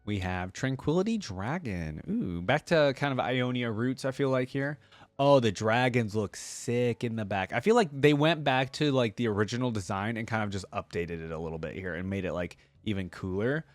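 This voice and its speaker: A man's voice: medium pitch, animated, moderate pace, no room sound. A man giving casual, informal reaction commentary, thinking aloud with exclamations as a video creator.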